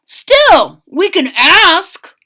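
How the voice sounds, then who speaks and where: A woman voicing a tired, hungry soldier marching home from war, speaking loudly and eagerly in a storybook read-aloud. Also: very high-pitched, big pitch swings, extremely slow, pitched above the performer's own voice, heavily acted. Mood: hopeful.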